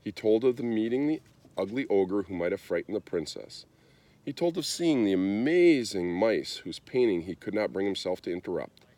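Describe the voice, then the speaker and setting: Guy reading a kids book out loud, low voice, super animated. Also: normal speed, no echo. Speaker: storybook reader. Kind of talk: expressive children's story read-aloud.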